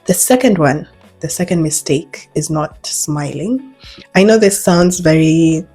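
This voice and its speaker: Husky low-voiced Kenyan woman giving advice. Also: unhurried, soft background music. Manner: emphatic, conversational, animated with repeated points. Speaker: English teacher giving job advice. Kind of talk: advice vlog, speaking to camera.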